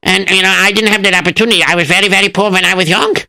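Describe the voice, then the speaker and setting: A man's voice: high-pitched, steady tone, racing, gravelly, bright, forceful tone, voice pushed up, full character voice. Man putting on a fretful farmer. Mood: distraught. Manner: fretful, sing-song, wailing. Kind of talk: storytelling.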